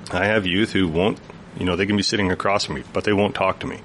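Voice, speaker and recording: A man, a youth worker, explaining earnestly as a guest in a radio studio interview, with a deep voice. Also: fairly even intonation, fast talker, a bit bright, a little hiss or hum.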